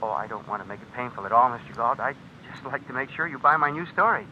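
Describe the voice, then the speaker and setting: An actor in an old radio drama playing a smug, menacing blackmailer, a writer who talks with insinuating mock politeness. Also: male voice, medium pitch, animated, fast talker, close to an everyday voice. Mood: smug insinuation. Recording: dull, noisy old radio recording.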